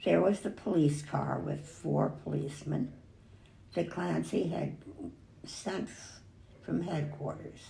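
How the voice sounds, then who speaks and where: An older woman with a heavily creaky voice reads a picture book to children. She goes slowly, with lively rises and falls, and stops now and then to remark on the pictures. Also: on the low side, a little hiss or hum. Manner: expressive, pausing to remark on the pictures. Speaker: storybook reader.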